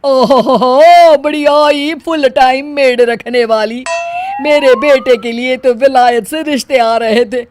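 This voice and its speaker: Woman acting a high-voiced cartoon mother-in-law. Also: steady tone, slow pace, noisy background. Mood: scolding, haughty. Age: older. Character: bossy, scolding older mother-in-law, sometimes sweetly doting. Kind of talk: Hindi moral-story cartoon voice acting.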